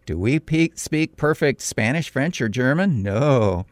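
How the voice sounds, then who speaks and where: Middle-aged male pastor, commentary read, animated, dry close mic. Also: dry recording. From California. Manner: deliberate, emphatic, with sudden pitch rises on key points. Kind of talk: scripted radio commentary.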